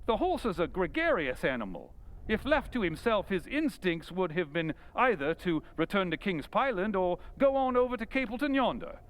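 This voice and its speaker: A keen, courteous detective from an old-time radio play, acted by a man. His voice sits high and moves with lively, theatrical swings. He is self-assured and inquisitive, questioning with polish. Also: a little hiss or hum, low-quality recording.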